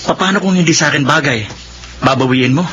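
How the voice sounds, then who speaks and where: A man playing a caring, playful young college student who gently nags, in a Filipino radio drama. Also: on the high side, fairly quick, natural voice. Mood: playful teasing. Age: young adult. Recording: muffled, with noisy background.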